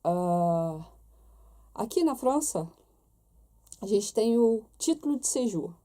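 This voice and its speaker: A young Brazilian woman with a Brazilian Portuguese accent vlogs in a relaxed, chatty way. Her voice is dark and mellow, and her pitch sits in a middle range for a woman. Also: slow pace. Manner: chatty, talks straight to viewers with many tag questions. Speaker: vlogger. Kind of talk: casual chat to camera.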